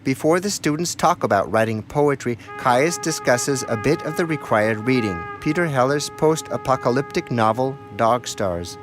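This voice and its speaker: A man's voice: medium pitch, expressive, normal speed, few pauses. Male documentary narrator over music. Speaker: narrator and radio feature reporter.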